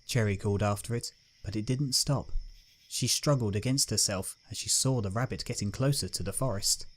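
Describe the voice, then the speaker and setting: A man's voice: on the low side, normal speed, slightly rough. A man narrating a dramatic scripted story as its reader.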